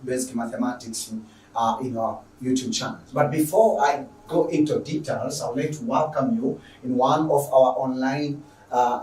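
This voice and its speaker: A man's voice: medium pitch, mellow. Echoey, distant Tanzanian male teacher. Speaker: online secondary school mathematics teacher. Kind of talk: spoken lesson introduction to viewers. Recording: echoey room, distant microphone.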